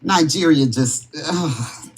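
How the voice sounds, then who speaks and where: A woman giving impassioned political commentary on a live stream, emphatic and forceful, repeating her warnings. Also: deep voice, slow pace, poor audio.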